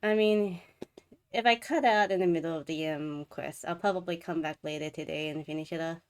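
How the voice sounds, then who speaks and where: A woman's voice: deep voice, brisk, clean tone. A woman livestreamer chatting casually to viewers as she hosts a game stream. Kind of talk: casual live stream chat. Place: live game stream from an indoor setup. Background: game music.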